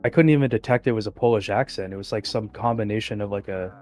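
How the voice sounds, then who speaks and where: Guy with a lowish voice guesting on a stream, halting, says like a lot. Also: a little mellow, soft background music. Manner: halting, full of "like" and "um" fillers. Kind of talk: casual chat.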